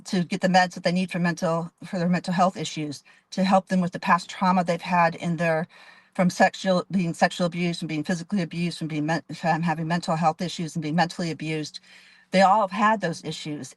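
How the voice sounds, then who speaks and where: A woman in her fifties with a fairly low voice speaks earnestly as a judge in an interview. She lays out her experience in long, detailed answers and often restarts her sentences. The sound is close and dry. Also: level tone, a little fast, no room sound. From Dallas, Texas. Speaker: judge and candidate.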